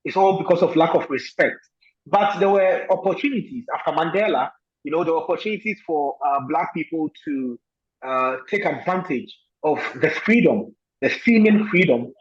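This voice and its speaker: A man from Ghana, a guest answering interview questions in a thoughtful way, pausing often with fillers. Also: fairly high pitch, expressive, lo-fi sound.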